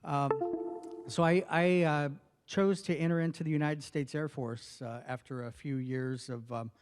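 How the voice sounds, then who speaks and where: Soft-spoken man in a big hall. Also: on the high side, steady tone, normal speed, clear, resonant tone, soft background music. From northwestern New Mexico. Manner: soft-spoken, many hesitations and fillers. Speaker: federal health official giving a speech. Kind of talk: personal remarks at a formal event. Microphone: podium microphone. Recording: long reverb of a large hall.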